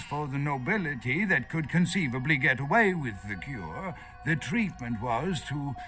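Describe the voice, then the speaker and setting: Nervous, animated, high-voiced scholar. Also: male voice. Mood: scholarly, animated. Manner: lecturing, hesitant, searching for words. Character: nervous, erudite male foreign archaeologist held captive. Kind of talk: video game voice acting.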